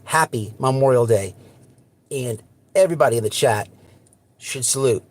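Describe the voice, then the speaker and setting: A man hosting an unscripted livestream, talking bluntly and repetitively to his viewers, with halting false starts. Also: mid-range voice, dry recording. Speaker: livestream host.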